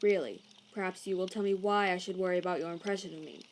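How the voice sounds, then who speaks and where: A woman voicing a haughty, prejudiced male envoy in an animated comic dub, stiff, officious and condescending. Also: fairly low pitch, fast, a little hiss or hum, close to an everyday voice. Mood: cold, officious.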